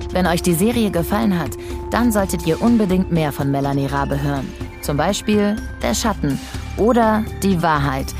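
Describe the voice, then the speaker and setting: A woman reading a scripted podcast outro as the series announcer. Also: lowish voice. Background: music.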